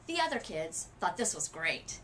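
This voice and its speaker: A woman narrates a children's picture book aloud. Her delivery is highly animated, with dramatic emphasis and pauses, over faint background noise. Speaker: literacy coach reading aloud.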